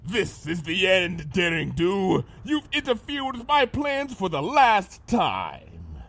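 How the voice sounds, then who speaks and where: A man's voice: sits high, wildly swooping pitch, slow, fairly bright tone, heavily acted. A man playing a vengeful monster villain in an audio story, grandiose and menacing, with pompous, florid melodrama. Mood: gloating. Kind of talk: dramatized fan fiction audio reading.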